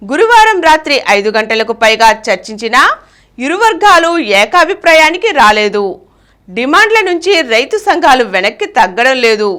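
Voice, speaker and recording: A woman reading a news bulletin, with measured newsreader delivery and emphasis on each headline. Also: high-pitched, sing-song, sharp tone. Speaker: news reader.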